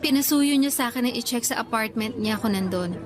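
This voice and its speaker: A woman's voice: a little high, brisk, music in the background, natural voice. An actress playing a troubled young working girlfriend who confides in her friend, in earnest conversational Filipino radio drama style with a Filipino accent. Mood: casual, resigned.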